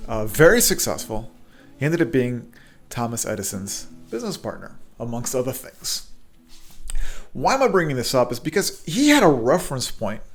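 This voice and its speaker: Earnest, fairly high-voiced man hosting. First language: bilingual, speaks Hebrew. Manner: earnest, repeats words and restarts often. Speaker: podcast host. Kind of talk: motivational podcast monologue.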